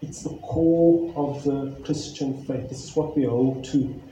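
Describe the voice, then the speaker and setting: A male pastor introducing a sermon series and praying, earnest and reflective, pausing with fillers. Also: medium pitch, steady tone, mellow, a little hiss or hum. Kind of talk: sermon and prayer. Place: church hall. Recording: very echoey church hall, faint ambience.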